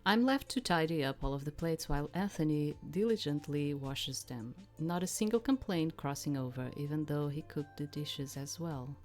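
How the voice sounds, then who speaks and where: A woman's voice: low-pitched, moderate pace, hardly any pauses, a little mellow, soft background music. A woman streaming a game, reading its text aloud and adding casual, rambling commentary as she searches for words.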